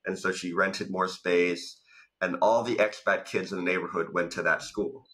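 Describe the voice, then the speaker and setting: Low, bright-voiced man telling stories. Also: fairly even intonation, moderate pace, rough recording. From Thailand. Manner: talkative, storytelling with many "like" fillers. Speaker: guest, business coach and founder. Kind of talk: casual interview. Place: home room with bookshelves. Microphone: studio mic in front, video call.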